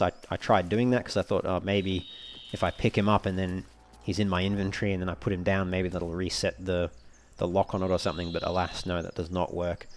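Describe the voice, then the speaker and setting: A man giving casual let's play commentary as a walkthrough host, explaining things in a measured, wordy, slightly formal way. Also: low-pitched, darkish tone, slight background noise.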